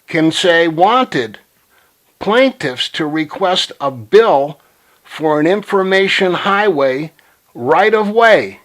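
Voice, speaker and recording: A man making a phone call to pitch his ideas, speaking earnestly and insistently as if reciting slogans. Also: expressive, takes it slowly, a bit bright. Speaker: caller.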